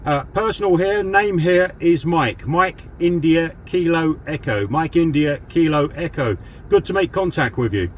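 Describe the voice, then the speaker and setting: A man's voice: fairly high pitch, expressive, measured pace, a little hiss or hum. A man from southern England, a CB radio hobbyist, chatting casually with radio jargon and walking through a gear demo. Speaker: CB radio hobbyist and video host.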